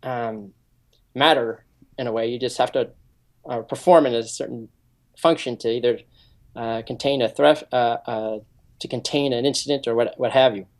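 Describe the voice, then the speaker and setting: A man's voice: mid-range voice, steady tone, moderate pace. A man in his forties, a security consultant, earnestly explaining his background in a radio interview with frequent ums. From United States. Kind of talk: interview answers.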